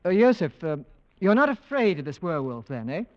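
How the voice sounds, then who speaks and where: An actor playing a skeptical, inquisitive investigator who probes with questions in 1940s radio drama style. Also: male voice, sits high, big pitch swings, brisk, dry recording. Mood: probing. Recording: dull vintage radio recording.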